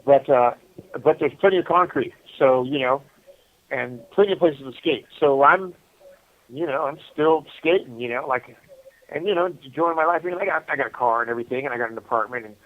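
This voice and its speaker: Middle-aged American man on a muffled phone. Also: mid-range voice. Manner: halting, conversational, repeats "you know". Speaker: prisoner interview guest calling from prison. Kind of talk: casual personal storytelling. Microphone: telephone. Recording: muffled phone call from prison.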